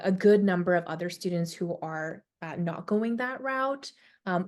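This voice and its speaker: A woman advisor answers student questions in a webinar, her voice a little low. She weighs her words carefully, filling pauses with ums and soft hedges, heard over a computer mic on a video call. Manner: hesitant, many ums, careful and hedging. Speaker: college admissions advisor.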